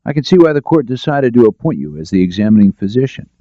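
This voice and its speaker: A man's voice: medium pitch, sing-song, quick pace, dark tone, dry recording, lo-fi sound, pitched above the performer's own voice, natural voice. A man voicing a composed, probing lawyer who speaks directly, in a detective audiobook. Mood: polite. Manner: direct, questioning. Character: shrewd, composed, probing male defence lawyer.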